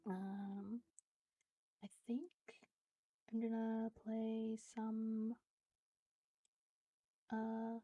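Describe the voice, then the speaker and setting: A woman's voice: fairly high pitch, monotone, drawn-out words, pauses often, no echo. A woman game streamer chatting casually and hesitantly, trailing off between thoughts. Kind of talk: casual livestream chat while gaming. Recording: dull lo-fi stream audio, music behind.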